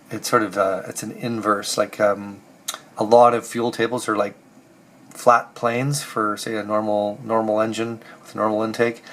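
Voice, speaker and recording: A hoarse man with a cold talks through his engine tuning in a low, fairly flat voice. He thinks aloud and stops often to find his words. A faint hiss sits under the talk. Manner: halting, thinking aloud with many pauses. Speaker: car builder explaining engine tuning. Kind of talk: casual technical walkthrough.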